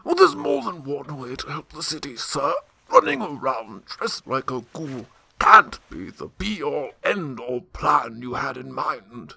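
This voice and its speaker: A man's voice: medium pitch, big pitch swings, unhurried, creaky, bright, forceful tone, exaggerated voice. A man playing a dry, sardonic, loyal butler and mentor in a radio drama, speaking formally with wry admonishment. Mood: earnest admonishing.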